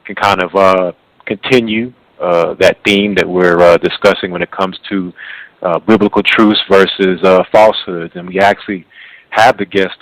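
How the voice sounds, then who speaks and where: A man with a very deep voice hosts a radio interview in a conversational style. His delivery is halting, with words often repeated, and the sound is dry with little room echo. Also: fairly even intonation. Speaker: radio show host.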